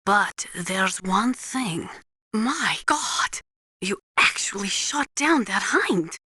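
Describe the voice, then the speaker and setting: A woman's voice: medium pitch, expressive, takes it slowly, bright, forceful tone, slightly rough, no echo, studio quality, exaggerated voice. An actress playing a blunt, knowledgeable female military weapons analyst in a video game, briefing in a precise, instructive way. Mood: amazed. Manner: precise, matter-of-fact, instructive.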